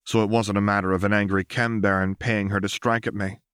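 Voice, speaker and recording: A man's voice: on the low side, level tone, a little fast, a bit bright, clean tone, no echo, clean studio sound. A man reading a fantasy story as an audiobook narrator, in scripted narration.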